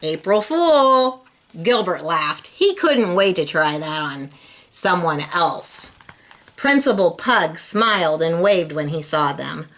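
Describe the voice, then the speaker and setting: A woman's voice: low-pitched, animated, takes it slowly, fairly bright tone. A woman reading a children's storybook aloud, expressively and speaking directly to young listeners. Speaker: storybook reader.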